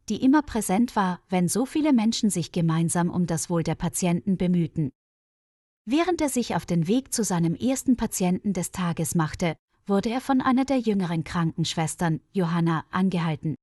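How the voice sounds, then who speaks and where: A woman's voice: fairly low pitch, a little mellow, no echo, clean studio sound. A woman reading a scripted story as an audiobook narrator for language learners.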